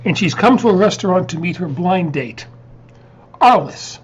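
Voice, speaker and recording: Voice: mid-range voice, expressive, normal speed, husky, lo-fi sound. An announcer introducing the next piece in a stage show.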